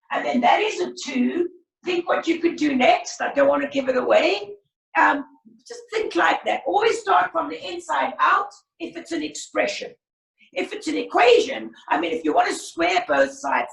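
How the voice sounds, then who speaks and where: A woman maths teacher, teaching a class over a video call in a brisk, encouraging way, her voice croaky. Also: high-pitched. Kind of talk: online class lesson. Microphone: laptop video call. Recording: roomy far-off video call audio.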